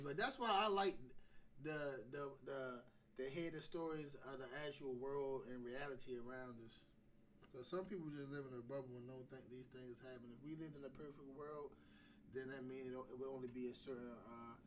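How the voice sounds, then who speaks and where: A man gives casual, off-the-cuff commentary as a show host. His delivery is slangy and opinionated, often repeating phrases as he works through a point. His pitch sits in the middle, and clear noise runs behind him. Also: distant mic. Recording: clearly noisy background.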